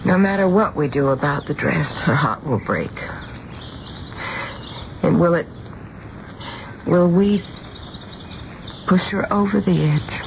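A woman's voice: on the low side, expressive, takes it slowly, vocal fry, long pauses, heavily acted. An American actress playing an anxious middle-aged mother in a radio drama, fretful and emphatic. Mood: distressed. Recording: dull, hissy old radio broadcast.

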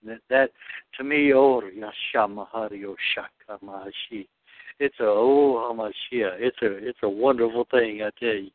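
A man speaking earnestly and devoutly about faith, with halting restarts. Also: lowish voice, no room sound. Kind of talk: spiritual discussion. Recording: dry phone line.

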